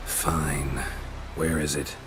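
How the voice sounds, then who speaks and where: A man voicing a laconic, gruff, weary monster hunter in a video game, terse and deadpan with a gravelly voice. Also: extremely low pitch, drawn-out words, noisy background, heavily acted. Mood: matter-of-fact.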